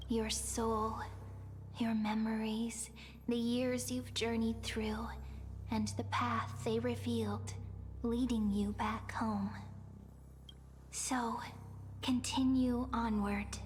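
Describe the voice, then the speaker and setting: An actress voicing a gentle, solemn guide in a video game, tenderly urging someone onward. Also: female voice, fairly high pitch. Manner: slow, solemn, tender encouragement. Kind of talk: scripted video game character acting. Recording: long reverb with music underneath.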